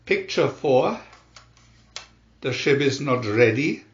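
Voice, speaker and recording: A man reading scripted storybook narration in a deliberate, dramatic announcing manner. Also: medium pitch, expressive. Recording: dull, echoey room recording.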